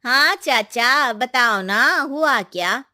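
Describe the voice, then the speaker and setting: A woman's voice: on the high side, lively intonation, slow pace, sharp tone, no room sound, studio quality, heavily acted. A woman voicing a kindly, secretive young village woman in a cartoon, speaking in an emphatic, reassuring, colloquial way. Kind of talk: Hindi moral-story cartoon dialogue.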